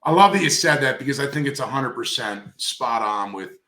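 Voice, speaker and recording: A man's voice: mid-range voice, lively intonation, brisk, pushed and bright. A man speaking conversationally in an industry interview, coaching emphatically and repeating key phrases for stress. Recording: roomy, echoey sound.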